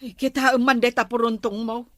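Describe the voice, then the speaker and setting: A woman's voice: fairly high pitch, level tone, moderate pace, bright, forceful tone, dry recording, studio quality. A woman with an Ilocano accent playing a bossy, critical village woman in a comedy drama. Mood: scolding.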